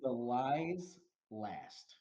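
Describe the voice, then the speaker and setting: A man co-hosting a casual movie review podcast, animated and laughing often as he acts out lines from the film. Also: medium pitch, very slow. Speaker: podcast co-host.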